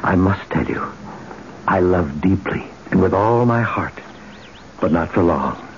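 A low, richly varied male voice gives us an ancient, commanding god of romance. He declaims with solemn, brooding intimacy in old radio-play style, through a muffled recording with clear background noise. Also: expressive, measured pace. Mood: solemn, assured. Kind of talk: old-time radio drama acting.

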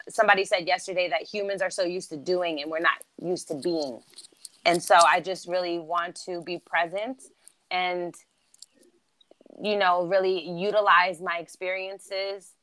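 A woman's voice: on the low side, fairly even intonation, a little slow, fairly bright tone. A woman born in Germany with German as her first language, speaking English with an American East Coast accent in an upbeat, chatty, motivational way as an interview guest. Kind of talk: casual interview chat.

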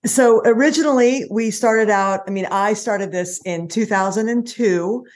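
A woman's voice: medium pitch, unhurried. A middle-aged American woman and small business owner, telling her story in a chatty interview with many fillers. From United States. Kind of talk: casual interview chat.

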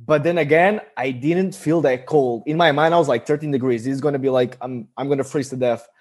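A man's voice: mid-range voice, a little fast, a little mellow. A young man co-hosting a podcast over a video call, chatty and easygoing as he tells a travel story. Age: young adult. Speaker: podcast co-host. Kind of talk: casual chat.

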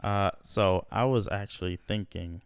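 A man's voice: on the low side, fairly even intonation, measured pace, clear, resonant tone, dry recording. A young man hosting a casual podcast at home, slangy and laid-back, swearing often. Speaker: podcast host. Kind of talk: casual profane podcast chat.

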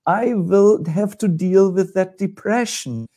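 A man's voice: high-pitched, slow, warm tone. A man with a German accent, a spiritual teacher answering questions in a discussion with hesitant fillers and vivid examples.

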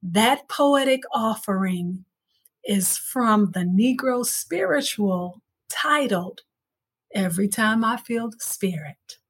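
A woman's voice: medium pitch, lively intonation, takes it slowly, breathy edge. A woman hosting a storytelling podcast and addressing guests and listeners. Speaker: podcast host.

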